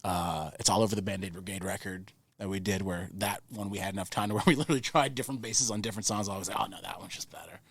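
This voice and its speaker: A man's voice: deep voice, fairly even intonation, fast, hardly any pauses, fairly bright tone, husky. A man from New Orleans chatting casually as an interview guest, a recording engineer who rambles with enthusiasm and swears freely. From New Orleans, Louisiana.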